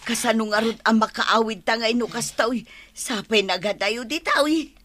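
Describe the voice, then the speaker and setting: A woman's voice: fairly high pitch, normal speed, a bit bright. A woman playing a complaining village wife with an upset stomach in an Ilocano radio comedy drama, whining and exclaiming with an Ilocano accent.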